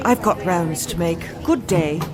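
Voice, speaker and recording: A woman's voice: lowish voice, unhurried, music in the background, crowd noise, close to an everyday voice. A woman playing a principled, no-nonsense doctor in an audio drama, blunt and sarcastic. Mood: brisk, suspicious.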